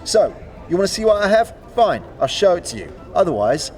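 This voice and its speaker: An actor playing an impatient undead merchant with showy, curt patter in a fantasy audio drama. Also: male voice, sits high, sing-song, brisk, darkish tone, soft background music. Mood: irritated.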